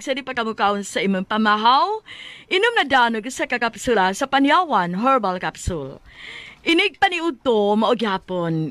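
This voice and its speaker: Casting: female Cebuano radio announcer, high voice, deliberate ad-reading delivery, dry close studio sound. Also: fairly bright tone, dry recording. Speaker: radio co-host and product announcer.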